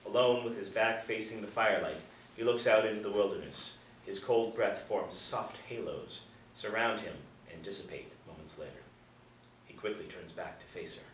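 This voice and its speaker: Lowish-voiced man narrating in an echoey room. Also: normal speed. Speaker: narrator reading stage directions. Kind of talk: scripted narration at a table reading. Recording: roomy, distant mic, faint noise.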